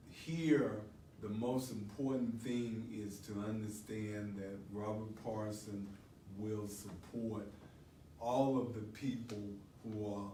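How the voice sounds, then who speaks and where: An older man giving an earnest, halting endorsement speech without a script. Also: lowish voice, a little mellow. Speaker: church deacon giving an endorsement. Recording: echoey room, distant mic, faint noise.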